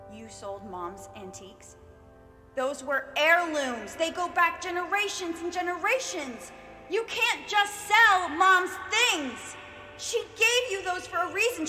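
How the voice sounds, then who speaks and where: An actress playing a guarded, frustrated sister in a stage drama. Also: female voice, very high-pitched, expressive, takes it slowly, bright, forceful tone, faint music behind, exaggerated voice. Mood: hurt, indignant. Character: guarded, frustrated older sister of an ambitious student. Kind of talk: stage-play dialogue acting. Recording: reverberant hall sound.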